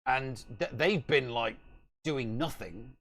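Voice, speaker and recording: A man with a gravelly, creaky voice at a medium pitch. He chats casually about games as a podcast co-host, leaning hard on key words and repeating phrases for stress. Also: takes it slowly, a bit bright. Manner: emphatic, repeats himself for stress. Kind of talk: casual gaming chat on a live stream. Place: indoor room at home, on a video call.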